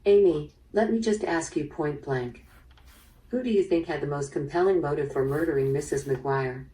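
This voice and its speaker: A woman playing a probing, businesslike police detective in an interactive radio drama, measured in her questioning. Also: low voice, dark tone, natural voice. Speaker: voice actor in interactive audio drama. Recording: played through speaker, slightly distant.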